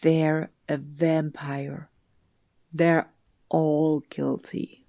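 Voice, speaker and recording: Female detective for audio drama, played by an actress: low, slow, breathy, guarded yet soothing, close and dry. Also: flat delivery, warm tone, no room sound, full character voice. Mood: firm, reassuring. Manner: measured, hesitant, often whispery. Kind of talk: hushed audio drama acting.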